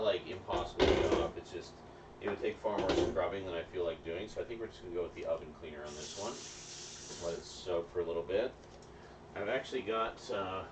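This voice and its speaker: Low-voiced man chatting in his kitchen. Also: distant mic, noisy background. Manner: relaxed and rambling, full of fillers, chatting to viewers. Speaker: streamer cooking and cleaning. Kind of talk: casual live-stream chat. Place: home kitchen.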